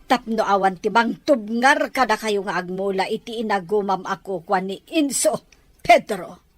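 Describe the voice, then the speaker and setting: A woman's voice: medium pitch, expressive, moderate pace, no room sound, close to an everyday voice. A woman with an Ilocano accent playing an exasperated, reproachful wife in an audio drama. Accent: Ilocano, from the Philippines. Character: exasperated, reproachful wife pleading with her husband.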